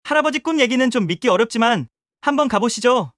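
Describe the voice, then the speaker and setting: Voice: mid-range voice, expressive, quick pace, a bit bright, no room sound, clean studio sound, lightly acted. An actor playing a polite, businesslike detective in an audio drama. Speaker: police detective.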